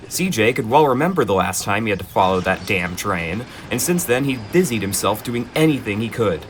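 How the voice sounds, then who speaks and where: A man narrating in a gentle, expressive children's storybook style, with dry asides. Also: lowish voice, a little fast, a little hiss or hum.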